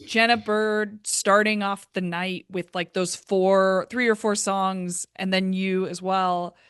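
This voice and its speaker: A woman talks appreciatively as a guest in a casual podcast interview. Her voice is smooth and clear. The sound is dry, with no room around it. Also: medium pitch, flat delivery, slow, fairly bright tone, no echo. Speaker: theatre creator guest.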